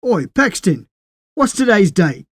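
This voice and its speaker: A man's voice: high voice, sing-song, measured pace, no echo, clean studio sound, voice pushed up, full character voice. A man voicing a blunt, suspicious working-class British soldier in an audiobook story, slangy and questioning. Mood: demanding.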